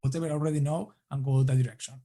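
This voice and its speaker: A man from Argentina with an Argentine Spanish accent, answering interview questions in a casual, halting way with many restarts. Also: medium pitch, fairly even intonation, dark tone. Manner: halting, many restarts and fillers, laughs lightly. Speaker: guest.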